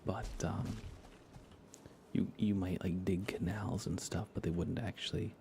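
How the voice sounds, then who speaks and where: A man with a low voice and a crackly fry murmurs his way through an ASMR game stream. He is gentle and unhurried, often slipping toward a whisper as he rambles, with noticeable ambient noise behind him. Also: fairly even intonation. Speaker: livestream host. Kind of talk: soft-spoken ASMR game livestream chat.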